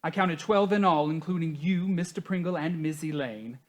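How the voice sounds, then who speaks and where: A woman's voice: low voice, normal speed. A woman playing a dutiful, formal household servant who reports deferentially to the mistress in a stage comedy. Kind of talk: theatrical stage comedy acting.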